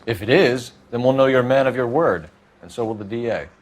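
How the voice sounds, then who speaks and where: An actor playing a stern, by-the-book police detective in a video game, clipped, formal and authoritative. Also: male voice, medium pitch, fairly quick, slight background noise, natural voice. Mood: businesslike. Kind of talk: scripted video game dialogue.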